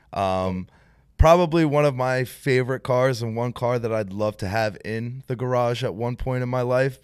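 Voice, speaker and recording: Casual car-talk guy, smooth resonant tone, dry close sound, chatty and filler-heavy. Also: on the low side, dry recording. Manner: animated, lots of "like" fillers.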